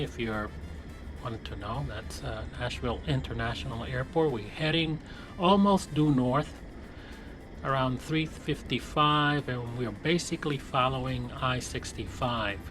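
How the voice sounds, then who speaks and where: A man casually narrating his simulated flight, relaxed, with many fillers and restarts. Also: medium pitch, a little slow. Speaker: flight sim streamer. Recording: music playing behind.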